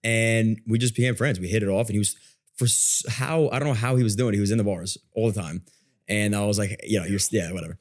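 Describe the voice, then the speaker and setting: A man's voice: lowish voice, fast talker. A man chatting casually as a podcast guest, telling stories informally and often interrupting himself. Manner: informal, self-interrupting storytelling. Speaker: podcast guest, online content creator. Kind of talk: casual chat.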